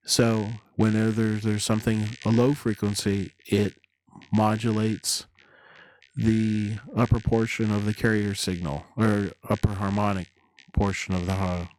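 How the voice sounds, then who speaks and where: Slow-talking man with a lowish voice. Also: little pitch movement, darkish tone, a little hiss or hum, low-quality recording. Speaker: tutorial presenter. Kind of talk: hands-on synthesizer tutorial narration.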